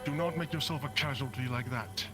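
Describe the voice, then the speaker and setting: A man's voice: medium pitch, a little fast, loud music behind the voice, close to an everyday voice. A man playing an assertive townsman who gives emphatic orders in an improvised live roleplay. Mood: stern. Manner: commanding, emphatic. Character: assertive townsman taking charge of frightened villagers. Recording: noisy online game voice chat.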